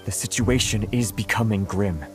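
Man playing a grave, lowish-voiced samurai officer. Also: close to an everyday voice. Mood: grave, worried. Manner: sombre, measured. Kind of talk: anime game voice acting. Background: rain, thunder and soft music.

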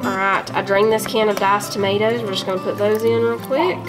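A woman, chatting homey and relaxed to viewers while she cooks in her home kitchen. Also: medium pitch, fairly quick, loud music behind the voice. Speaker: home cooking vlogger and mother. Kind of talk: casual cook-with-me narration.

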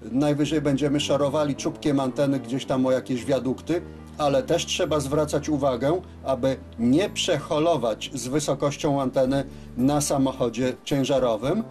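Guy doing a workshop demo, really slow talker, hesitant, bit of music behind him. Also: mid-range voice, a little mellow. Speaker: radio repair technician presenting his work. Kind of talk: unscripted workshop demonstration. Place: radio service workshop, indoors at a bench.

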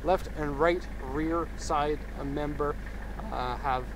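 A man's voice: a little high, fairly even intonation, slow pace. Upbeat man on an outdoor walkaround. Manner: upbeat and enthusiastic, with laughs. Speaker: car exporter reviewing a vehicle. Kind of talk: casual walkaround commentary. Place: outdoors in a park by a parked truck. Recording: outdoor noise behind voice.

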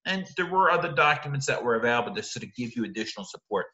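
A man's voice: medium pitch, very animated, rapid-fire, pushed and bright, roomy sound, dull audio. A man in his forties, a teacher giving a recorded lecture over slides in a conversational way, often checking in with "right?" and restarting his sentences.

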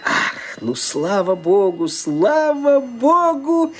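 An actor playing a scheming young heir who gloats to himself in a radio play. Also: male voice, very high voice, very animated, husky, heavily acted. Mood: gloating. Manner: shifts quickly between sly asides and fawning politeness. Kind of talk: Soviet radio play acting.

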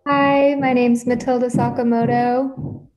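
A woman's voice: on the high side, little pitch movement, a little slow, darkish tone, faint music behind. A young woman, a performer, casually introducing herself on a video call. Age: young adult. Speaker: choreographer, dancer and actor.

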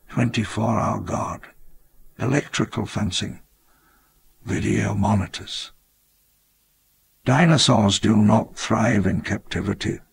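A slow, gravelly old park founder. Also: male voice, on the low side, exaggerated voice. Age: older. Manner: measured, reflective, grandly solemn. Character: elderly grandiose dinosaur-park founder, wistful. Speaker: narrator of his own memoir. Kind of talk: scripted memoir narration for a game.

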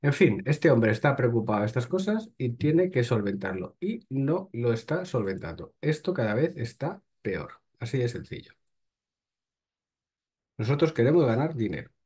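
A man's voice: medium pitch, sing-song, moderate pace, darkish tone, slightly rough. A man, hosting and reading crypto news aloud, then breaking off with short blunt remarks. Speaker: host.

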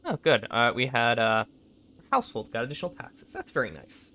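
A young man with a raspy voice from being sick, casually commenting on a strategy game, thinking aloud and clearing his throat often. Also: mid-range voice, sharp tone, a little hiss or hum. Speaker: gameplay commentator. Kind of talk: casual let's play commentary.

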